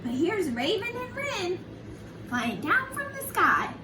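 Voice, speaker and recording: A woman with a very high, lively voice reads a picture book to little children. She is sing-song and unhurried, stopping for chatty asides to her young listeners. The recording sounds roomy and a bit distant from the microphone. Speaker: children's storyteller, early childhood educator. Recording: roomy, distant mic, faint background noise.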